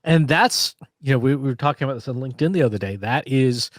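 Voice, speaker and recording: A man's voice: medium pitch, expressive, fast talker, no room sound, studio quality. A man co-hosting a podcast in casual conversation, explaining ideas with analogies and frequent restarts. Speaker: podcast co-host.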